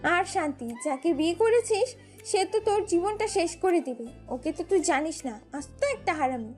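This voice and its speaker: Woman putting on a very high, cheeky sister. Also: animated, moderate pace, darkish tone, soft background music. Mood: mocking. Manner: gushing, cheeky, blunt banter. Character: chatty, blunt married sister, young woman.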